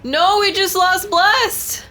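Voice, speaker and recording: A woman's voice: very high voice, fairly even intonation, takes it slowly, sharp tone. A woman playing a tabletop game, chatting casually with the others at the table. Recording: background music under voice. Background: background music.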